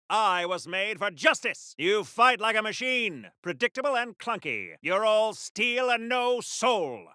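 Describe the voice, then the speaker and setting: A bombastic golden-age superhero soldier from a video game, pitched high and swinging widely in tone. He is patriotic and pompous, tossing out wisecracks like a 1940s serial hero. The voice is bright and forceful, delivered with theatrical flair. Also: dry recording, clean studio sound, full character voice. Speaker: video game character voice actor.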